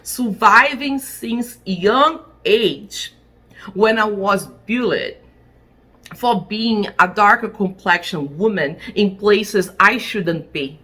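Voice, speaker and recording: A woman delivers a heartfelt personal statement in English, which is not her first language. She speaks very slowly, setting each short phrase apart with deliberate emphasis. Her voice is bright and forceful. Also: medium pitch, animated. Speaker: attorney. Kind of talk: impassioned spoken personal statement.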